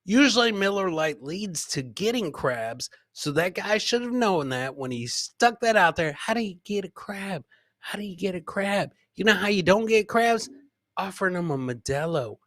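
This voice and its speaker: Male podcast host, high bright voice, casual comedy commentary, repeats catchphrases, chats to producer and viewers, dry close sound. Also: animated, moderate pace, dry recording. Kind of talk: casual live comedy commentary on video clips.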